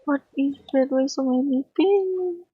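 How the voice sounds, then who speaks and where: A woman from Indonesia, streaming a game and chatting with viewers, speaking slowly and haltingly with a strained, hurt voice. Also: high-pitched, no echo, phone-quality sound. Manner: slow, halting, repeats words. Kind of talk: casual gameplay chat with viewers.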